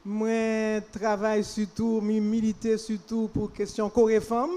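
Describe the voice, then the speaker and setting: A woman's voice: on the high side, completely flat delivery, unhurried, warm tone. A middle-aged woman from Haiti, a development worker, introducing herself on a panel in a jovial, joking way. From Pétionville, Haiti. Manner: jovial, jokes with the audience.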